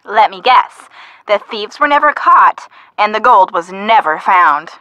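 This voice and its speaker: A woman playing a brisk, skeptical young friend of a detective in a video game, with a wry, assertive manner. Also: mid-range voice, lively intonation, pushed and bright, no echo, dull audio. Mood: wry, teasing. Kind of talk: scripted video game voice acting.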